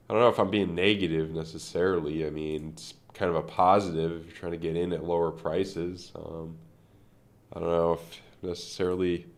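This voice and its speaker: Casual male streamer, gravelly fry, congested nasal tone, loose unscripted chart talk. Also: deep voice. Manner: rambling, thinking aloud with many fillers. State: congested from a sinus infection. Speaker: crypto trading streamer.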